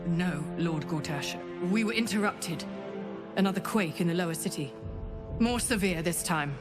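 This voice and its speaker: A woman's voice: on the low side, moderate pace, loud music behind the voice, natural voice. A woman playing a soldier, a sergeant in a video game, in a dramatic and intense style. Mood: anxious deference. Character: female soldier sergeant, subservient surface over seething menace.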